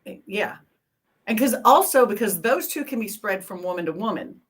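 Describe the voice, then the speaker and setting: A woman's voice: mid-range voice, animated, moderate pace, slightly rough. A woman in her fifties from Texas, bantering crudely and joking on a casual podcast. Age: 50s.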